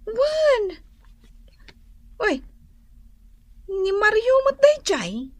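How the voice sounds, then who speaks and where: A woman's voice: very high-pitched, big pitch swings, drawn-out words, a little mellow, dry recording, exaggerated voice. An actress with an Ilocano Filipino accent playing a chatty, teasing married woman in a radio drama. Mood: curious, gossipy. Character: chatty, teasing young married woman visiting an old classmate.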